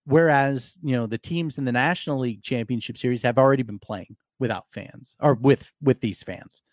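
A hoarse man hosting a live sports podcast, talking casually and rambling with restarts and fillers. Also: mid-range voice, normal speed, no echo, clean studio sound.

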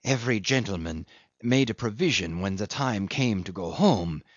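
A man's voice: fairly low pitch, big pitch swings, a little slow, no echo, dull audio. A man voicing a cringing, bitter old male schemer who whines coaxingly and breaks into cracked squeals.

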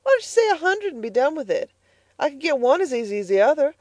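A woman reading the part of a bitter, stingy farm mother who scolds loudly and sharply. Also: medium pitch, lively intonation, a little fast, no echo, natural voice. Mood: furious. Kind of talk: audiobook character voice.

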